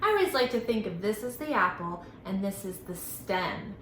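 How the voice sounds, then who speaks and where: Bright-voiced woman teaching children at storytime. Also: mid-range voice, normal speed. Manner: animated, sing-song, instructional, addressing young children. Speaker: children's library storytime host. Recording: roomy echo, faint background noise.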